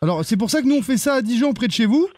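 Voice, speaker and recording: A man playing an earnest, bumbling charity deputy director on a prank call, speaking politely and hesitantly with deadpan insistence. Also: extremely high pitch, racing, pitched above the performer's own voice. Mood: insistent. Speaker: prank caller.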